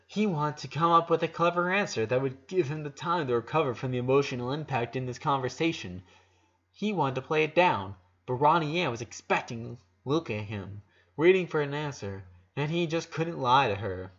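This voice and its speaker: A man's voice: mid-range voice, animated, moderate pace, fairly bright tone, dull audio. A man reading a dramatic story aloud as narrator, emotive, with occasional stumbles.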